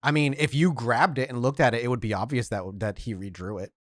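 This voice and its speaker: A man's voice: mid-range voice, fast, no echo, clean studio sound. A man working as game master, improvising narration for a tabletop vampire game and often revising his sentences as he goes.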